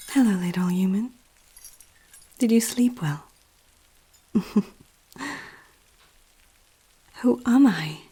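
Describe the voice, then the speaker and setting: A woman playing a powerful, seductive, possessive vampire aristocrat in an ASMR roleplay, speaking softly and often whispering, with a teasing, regal menace. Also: medium pitch. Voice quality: soft, breathy, often dropping to a whisper. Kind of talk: ASMR roleplay, intimate slow scripted monologue.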